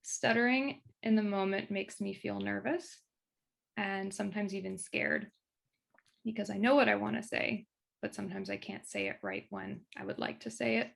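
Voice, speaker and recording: A woman in her twenties, a medical student with a mild stutter, making calm, measured statements about herself. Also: medium pitch, vocal fry. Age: 20s. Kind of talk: personal testimony.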